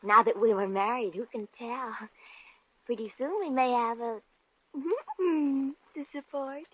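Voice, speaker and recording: High-voiced plaintive young bride. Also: female voice, measured pace, dry recording. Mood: fond, coaxing. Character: sweet, plaintive young newlywed bride, tired and anxious. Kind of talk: 1950s radio comedy acting. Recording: dull, lo-fi old radio recording.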